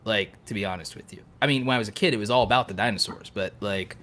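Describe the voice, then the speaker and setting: A man with a fairly low voice co-hosts a casual podcast. He talks in a rambling, enthusiastic way, gushing as he goes along in loose conversational phrases. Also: fast talker, bright, forceful tone. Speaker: podcast co-host.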